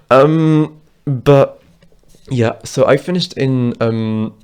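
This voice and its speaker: A man's voice: mid-range voice, drawn-out words, darkish tone, smooth. A man, telling personal stories to camera in a rambling, chatty way. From Britain. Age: 20s. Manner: rambling, chatty, loose and conversational. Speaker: vlogger. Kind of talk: casual personal storytelling to camera.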